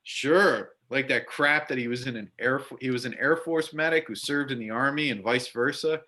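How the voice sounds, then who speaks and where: A man acts out a burly biker bartender and veteran in a readers theater piece. The voice is bright and forceful at a middle pitch. He talks in a folksy, blunt, chatty way. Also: moderate pace, lightly acted. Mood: scornful. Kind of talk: amateur readers theater acting.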